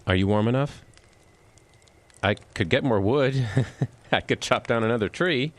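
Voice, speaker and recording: An actor playing a stubborn, reassuring boyfriend in an audio drama, with an emphatic, expressive delivery. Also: male voice, medium pitch, measured pace, noisy background, lightly acted. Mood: anxious reassurance. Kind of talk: audio drama acting with inner-monologue narration.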